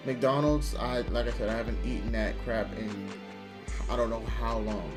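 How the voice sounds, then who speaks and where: A man's voice: medium pitch, moderate pace, music in the background. A man hosting a reaction video, talking casually with blunt slang asides.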